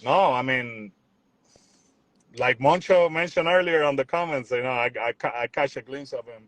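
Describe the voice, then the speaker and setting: A young man from Puerto Rico, talking in a relaxed, rambling way as a musician guest on a video call. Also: medium pitch, normal speed, no echo, poor audio. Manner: relaxed, rambling, repeats words, says "you know what I'm saying". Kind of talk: casual interview chat.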